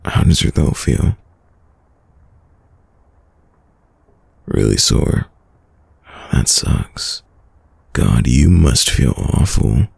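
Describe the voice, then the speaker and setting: A man playing a caring, worried boyfriend in an intimate ASMR roleplay, with a deep, gravelly, husky voice and a soft, soothing manner. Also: no room sound, exaggerated voice. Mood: reassuring, worried. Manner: soft, slow, soothing, hesitant. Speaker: audio roleplay voice actor.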